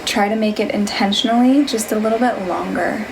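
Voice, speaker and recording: A woman leading a guided meditation, giving gentle, unhurried instructions in a soothing manner. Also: medium pitch, gravelly, noisy background. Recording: music behind the voice. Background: music.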